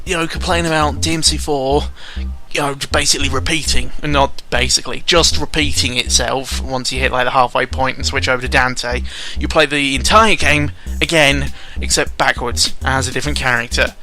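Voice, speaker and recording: A man's voice: mid-range voice, bright, forceful tone. A man giving casual, rambling video game commentary in an opinionated, sweary and exasperated manner. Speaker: gaming vlogger.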